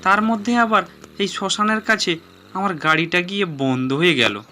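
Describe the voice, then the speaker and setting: Bright, high-voiced man telling horror stories. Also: normal speed, a little hiss or hum. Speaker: narrator and voice actor. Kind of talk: dramatized first-person horror storytelling.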